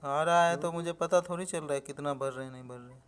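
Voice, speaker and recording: A man's voice: a little high, fast talker, crowd noise. Plain-spoken Indian man on a call. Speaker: customer on a call. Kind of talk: casual questioning phone conversation. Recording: recorded phone call.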